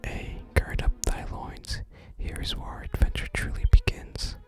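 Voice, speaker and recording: A person whispering in a soft, breathy, unhurried ASMR style while narrating a video game and reading its text aloud. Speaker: ASMR gaming video host.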